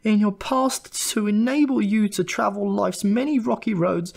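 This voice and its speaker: A man's voice: sits high, moderate pace, creaky, darkish tone, voice pushed up. A man voicing an angry, self-righteous mother who lectures in a pompous, exasperated way. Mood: exasperated, preachy.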